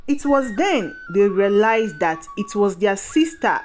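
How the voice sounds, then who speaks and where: Slow, lively woman telling a folktale. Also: medium pitch. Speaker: storyteller. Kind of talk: scripted folktale narration. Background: background music.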